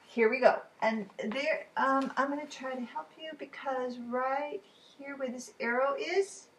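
A woman in her fifties reading riddle poems aloud for a children's storytime, expressive and deliberate, with chatty asides to the listeners. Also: high-pitched. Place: living room at home, indoors. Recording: clearly noisy room recording.

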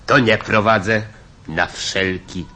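A man's voice: on the low side, steady tone, slow, bright, forceful tone, a little hiss or hum. An actor playing a jovial, probing male company director in a radio drama, breezy and teasing as he draws out his remarks.

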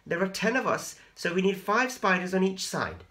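A man reading aloud and voicing an excited little girl spider in a high, squeaky voice. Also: normal speed, full character voice. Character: small excited girl spider, eager to count.

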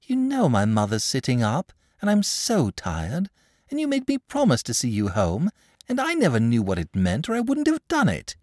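Small storybook boy: high-pitched, weary, sobbing, wildly swooping complaint. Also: huge pitch swings, normal speed, a little mellow, no room sound, clean studio sound. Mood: piteous. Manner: piteous, sobbing, whining. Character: tired, tearful, aggrieved little boy. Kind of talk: storybook character voice.

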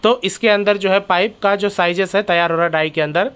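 A man's voice: high voice, fairly even intonation, fairly quick, a bit bright, clean tone. A man hosting his own channel, walking through a factory and explaining each step, often calling out to viewers as friends. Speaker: channel host. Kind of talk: factory tour vlog narration.

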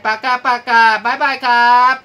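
A man's voice: fairly quick, lo-fi sound. A middle-aged man with a Thai accent making ham radio contact calls, spelling out callsigns in phonetic alphabet. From Thailand. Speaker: amateur radio operator. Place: small cluttered indoor radio room. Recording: very noisy shack recording, radio hiss. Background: radio static and noise.

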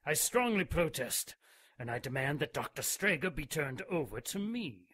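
A man's voice: a little high, very animated, normal speed, pushed and bright, husky, no room sound, exaggerated voice. A man playing a menacing old vicar of a secretive holy order in a radio drama, speaking formally and imperiously. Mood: indignant.

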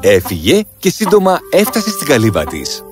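A man narrating a children's fairy tale in an expressive storytelling way, from a script. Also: fairly high pitch, music in the background.

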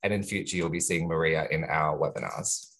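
A man with a softened Australian accent, introducing himself on a webinar in an upbeat, chatty way. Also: rumbling low, fairly even intonation, fairly quick. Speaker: sustainability manager at a nursery group. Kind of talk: friendly self-introduction.

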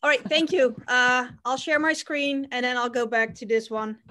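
Middle-aged Dutch-accented woman, teacher presenting over a call, steady and plain. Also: sits high, moderate pace. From Netherlands. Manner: plain, steady, with frequent "uh" fillers. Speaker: ceramics teacher and artist. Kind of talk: presenting her work over a video call. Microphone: video call.

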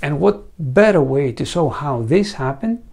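A man's voice: a little high, lively intonation, a little slow, creaky, darkish tone. A man in his forties with a Greek accent, a veterinarian presenting a scripted lecture in an earnest storytelling manner and putting questions to the viewer, on a lapel mic in a studio. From Greece. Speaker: veterinarian and site founder. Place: studio set against a green screen.

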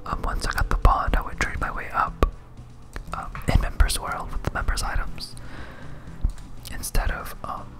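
A gamer speaking in a breathy whisper, giving soft, rambling ASMR commentary while playing a video game. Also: moderate pace, loud music behind the voice.

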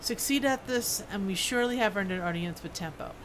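A woman's voice: mid-range voice, fairly quick, rough recording. A woman game streamer chatting casually and rambling to herself as she plays, sometimes reading quest text aloud. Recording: clear background game noise. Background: video game sounds.

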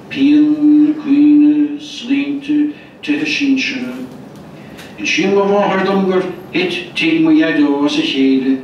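An older man, a poet and translator, reciting poetry slowly and deliberately on a festival stage into a handheld mic. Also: a little high. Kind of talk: poetry reading. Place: festival stage, indoor hall. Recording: echoey hall from far off, dull and noisy.

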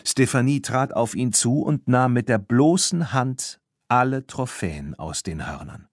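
A man narrating a children's audiobook, reading the scripted story in an expressive storytelling manner. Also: mid-range voice, unhurried, dry recording, clean studio sound. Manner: expressive storytelling with voiced dialogue.

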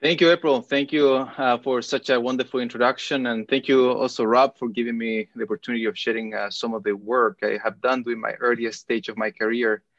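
A man in his thirties from Chile, giving an academic lecture with frequent hesitant filler pauses. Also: medium pitch, steady tone, a bit bright, dry recording, dull audio. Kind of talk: academic presentation over video call. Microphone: video call.

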